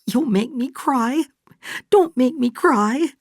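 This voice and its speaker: A woman's voice: medium pitch, big pitch swings, unhurried, no echo, studio quality, voice pushed up. A woman voicing an emotional, doting older mother in a storybook, gushing and insistent as she talks. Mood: tender, excited. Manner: gushing, exclamatory. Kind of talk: dramatised audiobook dialogue.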